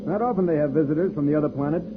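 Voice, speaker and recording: A man playing a calm, fatherly spaceship commander in a 1950s children's radio serial, explaining things in a reassuring way. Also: fairly high pitch, rapid-fire, natural voice. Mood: calm, instructive. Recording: noisy old radio transcription, phone-like band.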